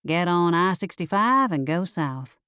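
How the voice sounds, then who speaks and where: A woman's voice: fairly low pitch, expressive, unhurried, a bit bright, clean tone, dry recording. Lady doing a bossy cat for an audiobook, folksy n sassy, dry sound.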